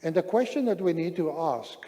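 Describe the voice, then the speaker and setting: A man from Southern Africa with a South African accent, speaking English as a third language, giving a measured, deliberate sermon-style seminar talk with pauses between phrases. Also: a little high, expressive, warm tone. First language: not English, which is a third language. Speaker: pastor, seminar presenter. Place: large church hall, speaking to an audience. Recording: reverberant hall, long echo.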